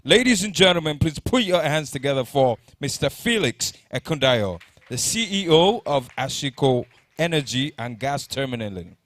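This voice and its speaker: A man's voice: on the high side, sing-song, moderate pace, few pauses, a bit bright, no echo. A man, introducing conference speakers from the stage, reading their bios and stumbling now and then on names. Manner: reads bios, stumbles on names, jokes lightly. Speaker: conference emcee.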